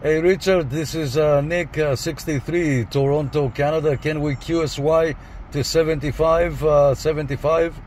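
A man's voice: fairly high pitch, fairly even intonation, normal speed, a little mellow, slight background noise. Man on CB radio in a parked car. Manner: repeats radio jargon and phrases. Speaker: CB radio operator. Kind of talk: informal radio contact chat. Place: inside a parked car in a parking lot. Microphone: camera beside the dashboard radio.

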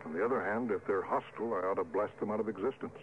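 An actor playing a commanding starship captain, deliberate and stern, in 1950s radio drama style. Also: male voice, fairly low pitch, natural voice. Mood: grave. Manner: authoritative, deliberate. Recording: phone-like vintage radio recording, faint hiss.

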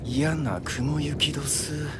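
An actor playing a refined, aloof young shrine official with a Kyoto accent, languid and polite. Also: male voice, fairly high pitch, steady tone, takes it slowly, background noise. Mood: languid calm.

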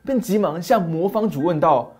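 A middle-aged man narrating a fairy tale as a channel host, with a dramatic, animated manner, on a lapel mic in a small dim study. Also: sits high, moderate pace, husky. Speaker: storyteller host.

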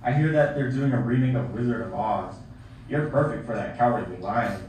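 A man's voice: medium pitch, fairly quick, darkish tone, natural voice. A man voicing a mocking, boastful schoolboy bully in an amateur puppet show. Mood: taunting. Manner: taunting, sneering. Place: theater, camera far from puppet stage. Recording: distant echoey theater recording, faint noise.

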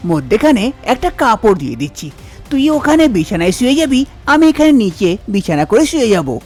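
A woman voicing a lazy, easygoing young husband in a cartoon, her voice pitched lower. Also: big pitch swings, moderate pace, darkish tone, faint music behind. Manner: pitches voice down for a man.

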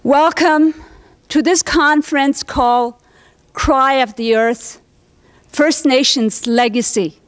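A woman in her thirties hosting a conference, speaking formally and deliberately into a podium microphone in a large hall. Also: sits high. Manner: deliberate, ceremonial. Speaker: conference host. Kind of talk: formal welcome speech.

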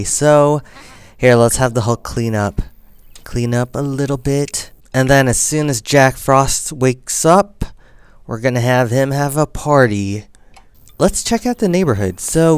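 A man's voice: medium pitch, smooth, no room sound. A young man casually commenting on his life-simulation game as he plays, thinking aloud and making choices. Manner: chatty, thinking aloud, counting and deciding as he plays. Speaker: gaming channel host. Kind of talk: casual let's-play commentary.

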